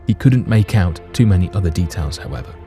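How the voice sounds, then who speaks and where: Low, mellow male story narrator. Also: animated, brisk, soft background music. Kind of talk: scripted dramatic story narration.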